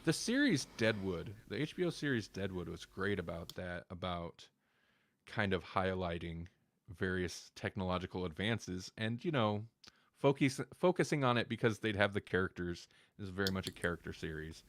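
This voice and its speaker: A man's voice: sits low, normal speed, no echo. A man hosting a podcast in casual chat, talking in a rambling way with self-interrupting asides. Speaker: podcast host.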